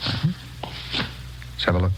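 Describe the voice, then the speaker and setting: A man's voice: moderate pace, lightly acted. A man playing an easygoing, coaxing narcotics dealer in a 1950s radio crime drama. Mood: casual, businesslike. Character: easygoing, smooth-talking male narcotics dealer. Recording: noisy, crackly vintage radio recording.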